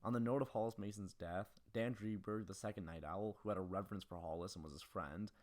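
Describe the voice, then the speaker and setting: A man's voice: fairly low pitch, fast talker, a little mellow, soft background music. A man reading a scripted film analysis as host of a video essay.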